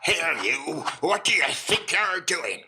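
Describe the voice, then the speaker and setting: An actor playing a gruff, bullying henchman guarding a warehouse in an old-time radio drama. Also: on the high side, very animated, slow, heavy background noise. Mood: threatening, suspicious.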